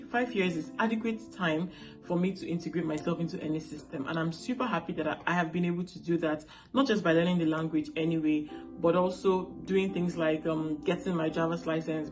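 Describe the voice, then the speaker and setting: A woman in her late twenties from Nigeria, with a Nigerian accent and Igbo as her first language, vlogging in a casual, chatty way and checking in with viewers often. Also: low-pitched. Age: late 20s. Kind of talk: casual talk to camera.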